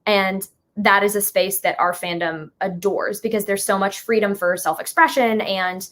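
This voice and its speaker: A woman's voice: medium pitch, fairly even intonation, moderate pace, a bit bright. Female, 20s, chatty and informal interview guest with a mid-range voice. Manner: chatty, informal, hedging with "like" and "sort of". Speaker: musical writer and guest being interviewed. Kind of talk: casual interview chat.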